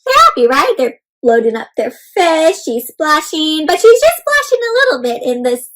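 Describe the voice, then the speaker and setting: Woman, high voice, children's read-aloud host, animated storytelling delivery, interactive, talks straight to kids. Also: normal speed, faint music behind. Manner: animated, expressive, addressing children directly with tag questions.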